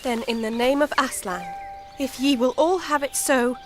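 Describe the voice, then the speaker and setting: A woman playing a gentle, cautious young queen who speaks in formal, archaic courtly language in a fantasy audio drama. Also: high voice, normal speed, faint music behind, close to an everyday voice.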